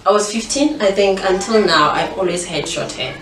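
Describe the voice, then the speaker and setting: A woman's voice: mid-range voice, normal speed, a bit bright, far from the mic, faint music behind. Young South African woman, echoey room. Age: young adult. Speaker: interview guest, pageant finalist. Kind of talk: casual chat. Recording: roomy echo, off-mic, faint noise.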